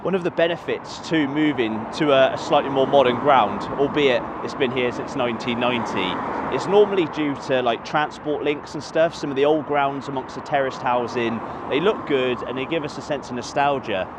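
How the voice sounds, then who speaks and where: A man's voice: medium pitch, normal speed, noisy background. A man, walking around a football ground and chatting casually to camera in a rambling, self-correcting way. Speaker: football stadium vlogger. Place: outdoors by a stadium near a motorway.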